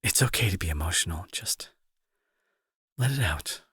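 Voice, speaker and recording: A young man playing a remorseful bully turned tender caretaker in an intimate audio drama, speaking softly and earnestly with a breathy, husky, hesitant delivery. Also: low-pitched, lively intonation, moderate pace, no room sound, heavily acted. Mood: tender, reassuring. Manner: soft, hesitant, earnest, reassuring.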